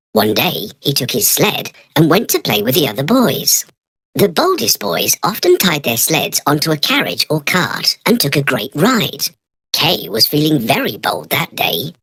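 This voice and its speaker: A woman's voice: low-pitched, a bit bright, husky, dry recording. A woman narrating a children's storybook from a script.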